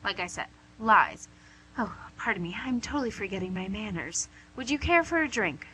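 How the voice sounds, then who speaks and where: A woman's voice: medium pitch, lively intonation, moderate pace, bright, forceful tone, rough recording. A woman playing a suave female devil boss in an amateur radio comedy, pedantic, condescending and mock-polite. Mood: teasing, sly. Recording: amateur radio recording with hum, noise.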